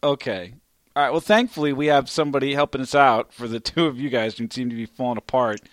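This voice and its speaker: A man's voice: medium pitch, fast, no room sound. A man hosting a podcast in casual chat, joking and swearing casually. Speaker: podcast host.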